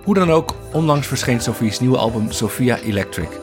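A man's voice: mid-range voice, expressive, normal speed. A man with a Netherlands Dutch accent, hosting a music podcast with scripted narration and interview questions.

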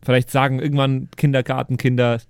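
A man's voice: medium pitch, level tone, quick pace, smooth, dry recording, clean studio sound. A man who plays and hosts on a tabletop podcast, chatting casually as he plays. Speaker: player and podcast host. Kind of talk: casual chat and tabletop play.

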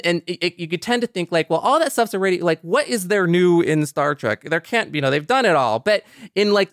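A man's voice: fairly high pitch, fairly bright tone, no echo, clean studio sound. A man hosting a podcast, talking casually and at length with geeky enthusiasm. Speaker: podcast host. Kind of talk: casual chat.

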